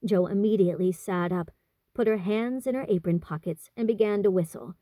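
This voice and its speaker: A woman's voice: medium pitch, brisk, dark tone, no echo. A woman reading a story as an audiobook narrator, in scripted storybook style.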